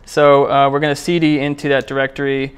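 A man presenting at a programming meetup in a casual, conversational, somewhat halting way as he walks through a live demo. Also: mid-range voice, completely flat delivery, smooth. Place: indoor meetup room, speaking before a small group.

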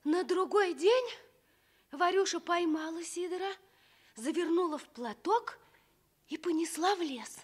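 A woman's voice: very high-pitched, a little slow, pauses often. A woman narrating a scripted fairy tale as a storyteller.

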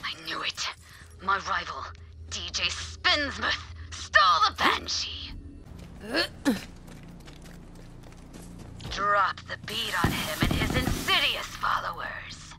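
Melodramatic gothic DJ, swooping slowly. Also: female voice, mid-range voice, sing-song. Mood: bitter. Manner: theatrical, drawn-out, archaic flowery speech. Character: melodramatic, morbid, gothic female DJ relishing dread. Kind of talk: scripted video game character dialogue. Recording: music behind the voice.

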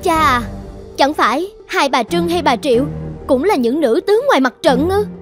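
A woman acting an idealistic young heroine of an animated drama. Her extremely high voice is earnest and resolute, with music clearly playing behind it. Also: normal speed. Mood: earnest, respectful. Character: determined, idealistic young woman, a dutiful daughter. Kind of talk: animated cartoon drama dialogue.

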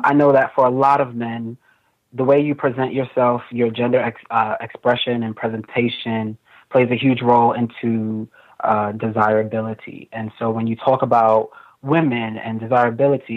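A young man with a fairly high voice, speaking thoughtfully as an interview guest with many fillers. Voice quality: relatively high voice. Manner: thoughtful, frequent fillers, laughs easily. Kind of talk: casual conversation. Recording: tinny phone-like video call audio.

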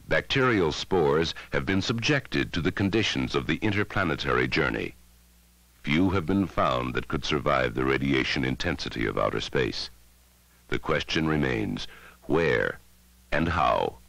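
Old 60s school film narrator guy, dramatic pauses, lively intonation, really dry close sound. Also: a bass voice, creaky, dry recording, rough recording. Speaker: documentary narrator. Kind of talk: scripted educational film narration. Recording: 1960s educational film soundtrack.

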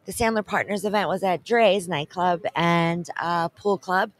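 A woman's voice: fairly low pitch, steady tone, unhurried. Upbeat woman co-hosting with a handheld mic. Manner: upbeat, promotional, lots of shout-outs. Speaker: co-host. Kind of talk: event wrap-up chat. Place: expo booth set in front of a backdrop.

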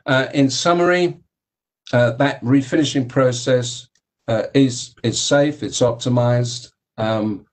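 A man giving a technical keynote talk about engineering, speaking in a halting way with many fillers and repeated words. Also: medium pitch, darkish tone. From United Kingdom. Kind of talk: technical conference presentation.

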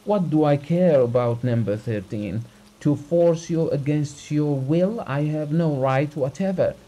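A man voicing a gentleman who begs a doctor for help in an imploring, flowery, stilted storybook manner. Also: mid-range voice, expressive, a little slow, voice pushed up, poor audio. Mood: insistent, emotional. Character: well-off gentleman desperately begging a doctor for help.

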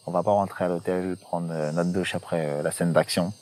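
A man in a jungle outdoors, talking in slangy, excitable, joking banter as a reality show contestant. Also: low-pitched, fairly quick. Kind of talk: casual banter and confessional.

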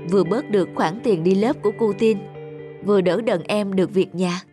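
A woman's voice: medium pitch, moderate pace, natural voice. A woman voicing an earnest, reflective young husband and devoted father in a cartoon. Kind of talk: cartoon dubbing. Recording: music behind the voice.

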